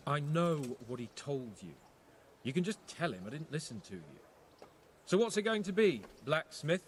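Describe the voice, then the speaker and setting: A man's voice: fairly high pitch, sing-song, moderate pace, a little hiss or hum. An actor playing a pompous, mocking young nobleman in a medieval video game. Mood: cocky, goading. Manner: pompous, taunting. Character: haughty, mocking young nobleman, cocky and scornful.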